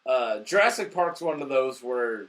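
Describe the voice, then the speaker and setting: A man's voice: medium pitch, lively intonation, moderate pace, fairly bright tone. A drunk man co-hosting a casual, profane movie review, rambling and easily losing the thread. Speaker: movie review show host.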